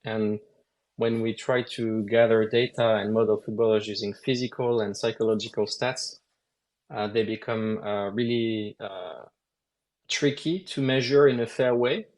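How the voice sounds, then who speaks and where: A man, a lead game designer, explaining game mechanics at a measured pace with frequent hesitations in a live Q&A. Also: fairly low pitch, steady tone, a little mellow.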